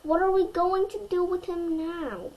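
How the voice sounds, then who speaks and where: A woman's voice: extremely high pitch, fairly even intonation, unhurried, highs cut off, voice pushed up. A woman voicing an exasperated, worried mother in a children's storybook, fretful and protesting. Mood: alarmed.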